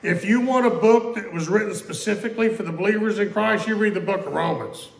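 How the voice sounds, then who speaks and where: Pastor, male, conversational sermon, gravelly, echoey sanctuary. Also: high voice. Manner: conversational preaching, repeats questions, quotes scripture slowly. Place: church sanctuary. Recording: echoey church room.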